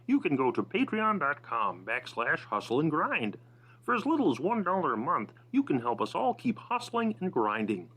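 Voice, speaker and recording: A man doing a high, cartoonish frog puppet voice to read a podcast promo. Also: fairly bright tone, heavily acted, poor audio. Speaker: voiceover announcer.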